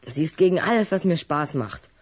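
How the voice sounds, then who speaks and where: A wary, defiant schoolboy in a children's audio drama. His voice is fairly high and noticeably husky, with a guarded, cheeky delivery. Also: animated, fairly quick. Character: wary, cheeky schoolboy who loves scary books.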